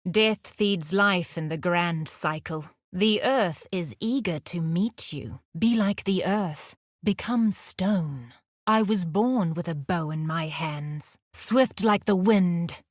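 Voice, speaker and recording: A woman voicing a fierce, grim huntress and scholar of beasts for a video game, speaking in a grave, blunt and deliberate manner. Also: on the low side, no echo, studio quality. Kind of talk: scripted video game character lines.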